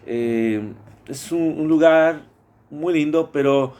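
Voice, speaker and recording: A man's voice: fairly high pitch, slow, darkish tone. A man from Mexico with a Mexican Spanish accent, presenting a class project in a hesitant way, with many fillers and restarts.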